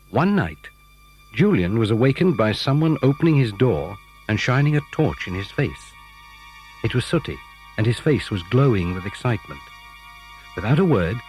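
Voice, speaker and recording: A British man narrating a children's story in a measured storytelling manner. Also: on the low side, a little mellow, rough recording. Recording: story cassette with faint music.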